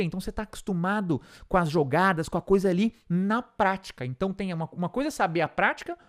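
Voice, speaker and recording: Brazilian guy teaching english, super animated up and down voice, keeps checking you follow. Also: high-pitched. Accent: Brazilian Portuguese. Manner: didactic, checks in with frequent tag questions. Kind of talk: explanatory video lesson.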